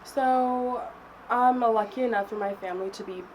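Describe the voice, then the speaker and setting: A young woman from Cameroon, a guest artist talking casually in an interview, relaxed and chatty. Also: a little high, moderate pace, low-quality recording. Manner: relaxed and chatty, with soft laughs. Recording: faint background noise.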